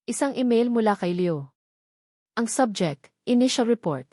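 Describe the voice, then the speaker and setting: A woman's voice: on the high side, expressive, moderate pace, mellow, no room sound, studio quality. A woman with a Filipino accent narrating a scripted story in a dramatic storytelling style.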